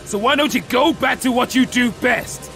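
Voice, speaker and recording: Gravelly, bitter teenage anime son played by a man. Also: very high voice, moderate pace, bright, forceful tone, soft background music, full character voice. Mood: angry. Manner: resentful, biting. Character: bitter, defiant teenage son, hero student. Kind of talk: anime parody dub acting.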